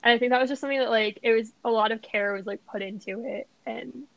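A woman's voice: fairly high pitch, fairly even intonation, fast talker, vocal fry, no echo, muffled recording. Chatty young woman, dry close sound. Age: young adult. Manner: chatty, says "like" a lot. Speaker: podcast co-host. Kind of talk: casual chat, storytelling.

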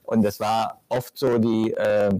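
A man's voice: fairly low pitch, little pitch movement, slow pace, mellow. A man hosting a casual panel talk, asking probing questions. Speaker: host.

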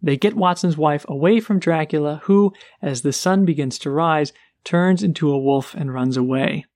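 Podcast co-host, male, higher voice, lively plot recap, dry mic. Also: moderate pace, no room sound. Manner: animated retelling of a plot. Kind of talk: casual book discussion.

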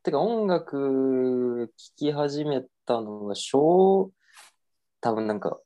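Man rambling through a lively story. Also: medium pitch, slow pace. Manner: rambling storytelling with self-corrections and laughs. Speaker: guest. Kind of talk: casual podcast chat.

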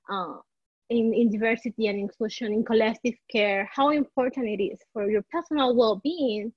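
A woman's voice: on the high side, dry recording, dull audio. A woman from Rico, a coach being interviewed, searching for words and restarting her sentences as she talks. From Puerto Rico. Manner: searching for words, frequent restarts, soft laughs. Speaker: life coach, podcast guest. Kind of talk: conversational interview.

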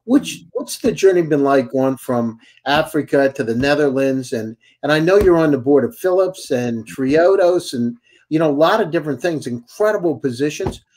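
Enthusiastic higher-voiced male host. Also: expressive, moderate pace, a little mellow. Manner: enthusiastic, heaps on praise, rambling questions. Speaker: host and company CEO. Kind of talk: online show introduction and interview.

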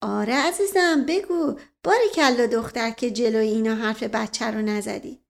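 A woman's voice: fairly high pitch, vocal fry. A woman voicing a teasing, indulgent prince, an urbane uncle with a coaxing manner, in a storybook reading.

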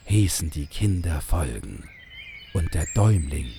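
A man with a husky voice narrating a fairy tale in a measured, unhurried way. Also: rumbling low, lively intonation, background noise. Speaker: storyteller, narrator.

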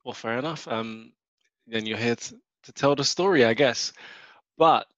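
A man's voice: medium pitch, normal speed, no echo. Man hosting a podcast, dull dry sound. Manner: conversational, steering with questions. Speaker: podcast host. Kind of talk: casual podcast interview.

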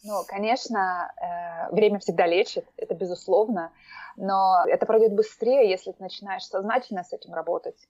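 A woman's voice: mid-range voice, fairly even intonation, normal speed, a little mellow, no echo. Hesitant woman in a muffled recording. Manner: hesitant, many fillers and restarts. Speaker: podcast guest. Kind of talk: casual interview chat. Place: lives in Norway.

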